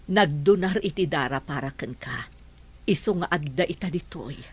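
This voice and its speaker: A woman's voice: low voice, normal speed, slightly rough. A woman with a Filipino accent playing a caring middle-aged madam and mother in an audio drama, soothing and coaxing. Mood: reassuring. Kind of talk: Ilocano audio drama acting.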